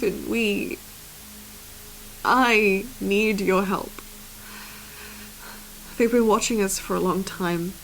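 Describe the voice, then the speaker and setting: A woman's voice: mid-range voice, slight background noise, natural voice, rough recording. A woman playing a sceptical, dry-witted young student podcaster in an audio drama. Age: young adult. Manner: sardonic, dry. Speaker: podcast co-host character. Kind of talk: conversational audio drama acting.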